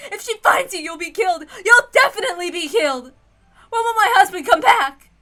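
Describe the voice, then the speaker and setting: A woman voicing a panicked, hysterical, feverish wife who wails and sobs for her husband in a frantic, shrill voice, in a story reading. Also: expressive, bright, forceful tone, exaggerated voice. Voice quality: shrill, wailing. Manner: frantic, sobbing, drawn-out pleading.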